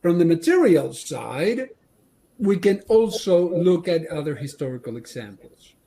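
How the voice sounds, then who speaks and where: A man's voice: fairly high pitch, sing-song, creaky, warm tone. A man from Argentina, giving a training presentation on manufacturing, often restarting his sentences. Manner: halting, many restarts and fillers.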